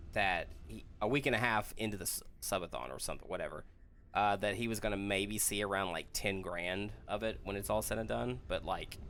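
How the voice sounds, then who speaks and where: A man in a casual group chat, explaining a story haltingly with self-corrections. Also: lowish voice, a bit bright, a little hiss or hum. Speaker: podcast host.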